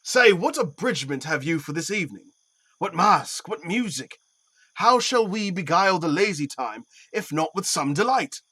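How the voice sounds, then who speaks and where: A man's voice: high voice, lively intonation, sharp tone, slightly rough, no room sound, full character voice. Stately, declamatory duke, played by a man, lightish voice. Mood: genial, expectant. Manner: declamatory, measured, regal. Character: Theseus, stately sceptical male Athenian duke. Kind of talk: Shakespearean audio play acting.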